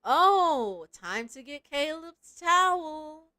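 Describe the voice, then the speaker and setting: Playful, high-voiced woman streaming a game. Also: big pitch swings, extremely slow, bright, forceful tone, dry recording. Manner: playful, giggly, teasing. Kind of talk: casual livestream chat and reading game story aloud. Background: game music.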